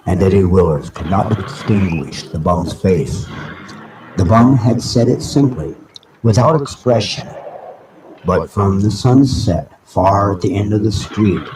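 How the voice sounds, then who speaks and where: A man reading a novel aloud live as dramatic narration, with deliberate, halting phrasing. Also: low voice. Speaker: reader of an audiobook. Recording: noisy amateur live recording with background ambience. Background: background ambient sounds.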